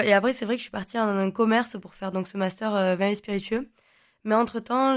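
A young woman in her twenties from the Languedoc, whose first language is Occitan, answering interview questions in a chatty, rambling way with many fillers and restarts. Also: medium pitch. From Languedoc, southern France. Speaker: guest, online content creator.

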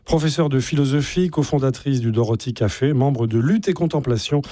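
A man's voice: medium pitch, fairly quick, dark tone. A man hosting a live radio debate, asking probing questions and rephrasing what is said. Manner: probing, rephrases guests' points.

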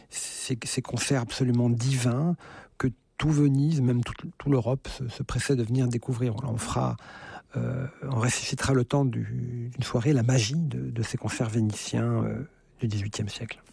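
Gravelly-voiced man, hesitant radio guest. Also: medium pitch, fairly even intonation, normal speed, warm tone, no room sound. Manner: hesitant, many fillers and repeated words. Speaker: conductor, festival guest. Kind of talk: radio interview.

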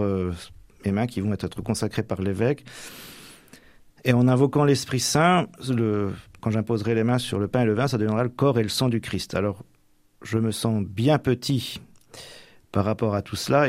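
A young man from Normandy, a deacon about to become a priest, answering radio interview questions with many pauses and restarts. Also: lowish voice, darkish tone, no room sound, studio quality. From Normandy, France. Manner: hesitant, many fillers and restarts. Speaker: deacon soon ordained priest.

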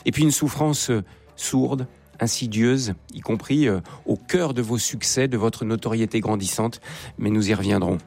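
Male interviewer; husky, polite, measured delivery. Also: medium pitch, darkish tone. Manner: measured, polite, prompts with short acknowledgments. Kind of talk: formal interview questions.

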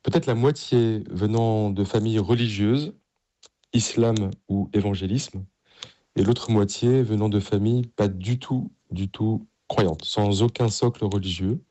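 Middle-aged man, lowish pitch, soft dark timbre, earnest and enthusiastic, conversational priest being interviewed. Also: measured pace. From Paris, France.

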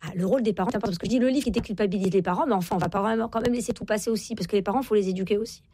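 A woman's voice: mid-range voice, rapid-fire, warm tone, slightly rough, no echo. A woman in her forties speaking as an interview guest, explaining and giving parenting advice emphatically, repeating key phrases to insist. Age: 40s. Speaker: guest parenting expert and author. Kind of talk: interview talk, explaining and advising.

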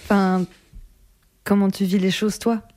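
A woman with a mellow, warm voice asks curious follow-up questions, gently prompting her conversation partner to go further. She sounds engaged and encouraging. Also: medium pitch, level tone, measured pace. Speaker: interviewer.

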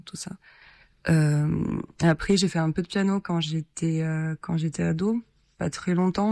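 A woman from Lithuania, a singer-songwriter, answering radio interview questions in a shy, soft-spoken and hesitant way with many fillers. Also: on the low side, dry recording, studio quality.

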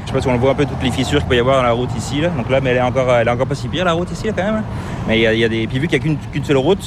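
A man's voice: mid-range voice, racing. A man from Brittany with a French accent mixed with some Québécois, chatting casually as an interview guest. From Brittany, western France. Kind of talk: casual conversation. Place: in a car on the road. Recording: loud car and road noise.

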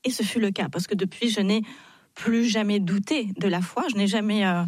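A woman guest in a radio studio interview, telling a personal story in an animated way and acting out the dialogue she quotes. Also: mid-range voice, normal speed, dry recording. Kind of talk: personal testimony in conversation.

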